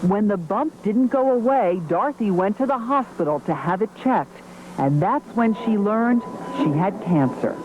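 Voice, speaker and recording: A woman's voice: medium pitch, animated, normal speed, highs cut off. A woman reading scripted narration as a television news correspondent. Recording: clear background noise under narration.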